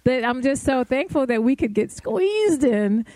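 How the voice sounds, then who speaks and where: A woman's voice: sits high, lively intonation, measured pace, warm tone, a little hiss or hum. A woman co-hosting a music business podcast, enthusiastic and effusive as she introduces a guest. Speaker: podcast co-host. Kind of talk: casual chat.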